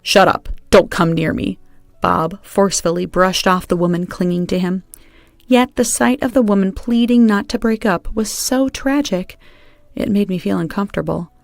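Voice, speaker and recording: A woman's voice: mid-range voice, moderate pace, creaky, no room sound, clean studio sound, soft background music. A woman reading a scripted first-person story as its narrator.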